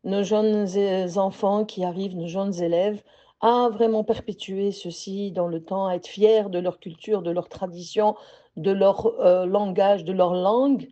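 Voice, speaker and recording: A woman teacher from Algeria with an Algerian accent, giving a measured personal message with hesitant pauses. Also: mid-range voice, dark tone, lo-fi sound.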